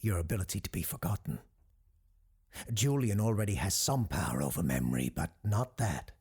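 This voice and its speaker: A male actor plays a learned doctor hosting a radio show in an audio drama. His voice is low and very husky, rising and falling expressively. He is careful and earnest, often hesitating as he thinks. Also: lively intonation, a little fast, a little mellow, exaggerated voice. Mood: thoughtful, wary.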